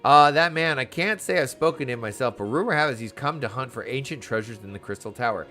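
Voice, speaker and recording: A man's voice: mid-range voice, animated, quick pace, pushed and bright, faint music behind. Man reading as a courtly, curious fantasy townsman. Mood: intrigued, genial. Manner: courtly, old-fashioned, chatty. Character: genial, curious male official in a fantasy town.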